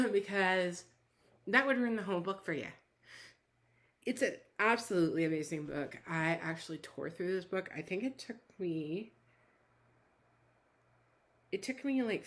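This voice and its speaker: A woman with a hoarse, somewhat low voice talks through a book review in a loose, chatty way. She wanders, restarts her sentences and fills the gaps with little fillers as she goes. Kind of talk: casual book review chat.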